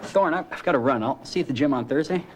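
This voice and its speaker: A man's voice: fairly high pitch, fast, slight background noise, close to an everyday voice. A man acting as a friendly, sociable gym buddy in casual scripted dialogue. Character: friendly, brisk gym buddy recommending a doctor.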